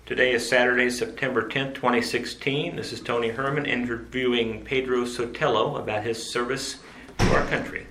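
A man conducting an oral history interview, asking short, plain questions and answering with a quick okay. His mid-pitched voice sits in a roomy, echoing space with a faint hum of ambience behind it. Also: steady tone, sharp tone. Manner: brief, plain questions and okays.

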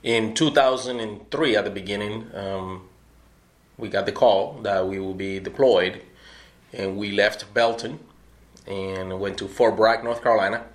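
This is a middle-aged army veteran from Puerto Rico, giving an oral history interview. He speaks in a fairly low voice, measured and unhurried, and often pauses with um and uh as he recalls events. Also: steady tone.

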